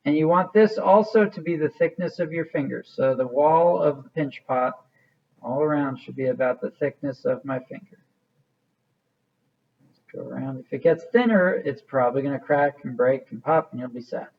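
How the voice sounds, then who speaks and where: A man's voice: mid-range voice, normal speed, vocal fry, lo-fi sound. A man teaching a hands-on craft demonstration in a casual, informal way, thinking aloud as he works. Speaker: art teacher.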